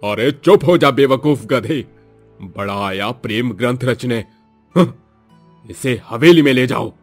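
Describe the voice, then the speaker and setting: A man's voice: medium pitch, a little slow, soft background music, close to an everyday voice. Man acting a domineering older village headman. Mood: angry scolding. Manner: scolding, threatening, haughty. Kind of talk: Hindi moral-story cartoon acting.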